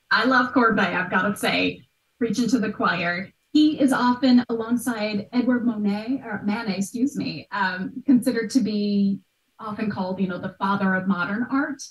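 A woman's voice: mid-range voice, moderate pace. A woman, explaining things articulately and conversationally as a guest expert on a video call. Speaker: guest expert, art history podcaster. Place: small bright room at home. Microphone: video call, at some distance. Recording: roomy, distant microphone.

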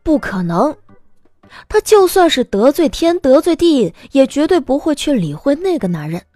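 Female storyteller for scripted drama narration, fairly high pitch, expressive and varied delivery. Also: moderate pace, no room sound, soft background music.

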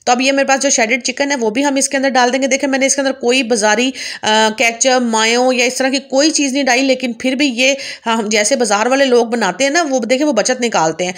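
A woman's voice: sits high, fairly even intonation, a little fast, few pauses, a bit bright. A woman hosting a home cooking channel, patiently explaining each step and often checking in with viewers. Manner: patient, repeats "theek hai" to check in with viewers. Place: home kitchen.

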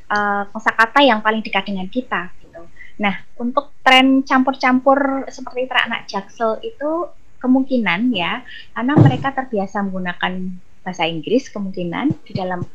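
A woman's voice: on the high side, a bit bright, lo-fi sound. A woman, a lecturer explaining conversationally and thinking aloud on a video call. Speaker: university lecturer, webinar speaker. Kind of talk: explanatory answer to audience question. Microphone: headset on video call.

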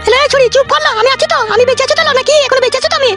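A foul-mouthed, bossy cartoon mother from the village, scolding in coarse slang. Her artificially raised voice is extremely high and races along, with music clearly playing behind her. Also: steady tone, very fast. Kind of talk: comic cartoon dialogue.

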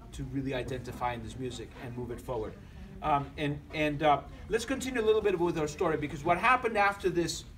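A middle-aged man from Argentina with an Argentine accent, giving a relaxed, joking lecture to an audience and often restarting his phrases. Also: a little high, a little hiss or hum. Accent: Argentine Spanish. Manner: conversational, joking, many restarts. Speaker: musician lecturer.

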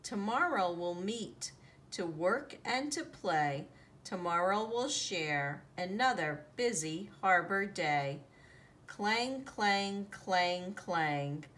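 A woman reading a children's picture book aloud in an expressive, deliberate storytelling manner, drawing out the sound words. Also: fairly low pitch. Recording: noticeable background noise, home recording.

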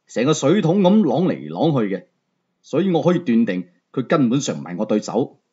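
A man's voice: mid-range voice, darkish tone, breathy edge, dull audio. A man with a Hong Kong Cantonese accent narrating a novel as a dramatic storyteller. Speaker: audiobook storyteller.

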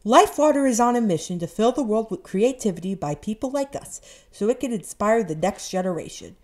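A woman's voice: mid-range voice, lively intonation, fairly quick, a little mellow, soft background music. A woman reading polished scripted narration as a voice-over artist.

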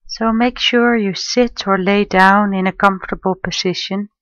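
A woman leading a guided meditation in a gentle, soothing, unhurried manner, leaving long pauses between phrases. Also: medium pitch, fairly even intonation, no echo, dull audio. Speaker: meditation guide, life coach and therapist.

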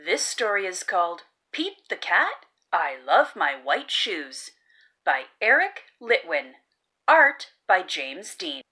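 Very animated woman reading to children. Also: medium pitch. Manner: expressive, exaggerated exclamations with drawn-out key words. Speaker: children's story reader, host. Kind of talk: animated children's read-aloud.

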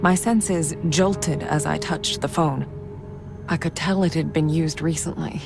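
Calm wry woman investigator in a game, lowish voice, takes her time, sorta musing to herself. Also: unhurried, vocal fry, over music. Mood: reflective. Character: adult woman investigator with spirit senses, calm, wry, caring. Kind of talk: video game acting and reflective narration.

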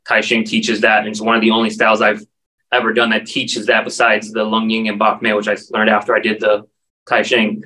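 Man, forties, martial arts teacher, bright voice, flat delivery, distant video call sound. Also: fairly low pitch, a little fast. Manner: earnest, explanatory, lists terms steadily. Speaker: martial arts instructor, guest. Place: dim indoor room. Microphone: video call, standing back from camera.

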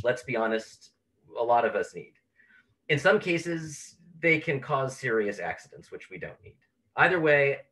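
A man's voice: lowish voice, bright, forceful tone, low-quality recording. A man hosting an online talk, telling an anecdote as an introduction over a video call. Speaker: host introducing a speaker.

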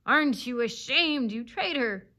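A woman's voice: medium pitch, moderate pace, close to an everyday voice. A woman playing a wounded storybook fox, desperate and embittered, speaking with intense feeling. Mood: bitter contempt. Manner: beseeching, embittered, hissing. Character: wounded fox, desperate, pleading, then bitterly contemptuous.

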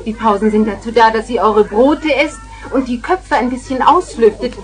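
An actress playing a sceptical, schoolmarmish teacher in a 1970s German children's radio play. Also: female voice, a little high, steady tone, moderate pace, close to an everyday voice. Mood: stern, dismissive. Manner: schoolmarmish, questioning. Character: sceptical, brisk female schoolteacher, sternly practical.

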